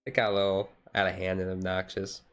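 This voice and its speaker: A man streamer chatting casually in a relaxed, halting, rambling way, thinking aloud as he goes. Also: deep voice. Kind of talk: relaxed casual chat about a card game, ASMR-style stream. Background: music playing softly behind the voice.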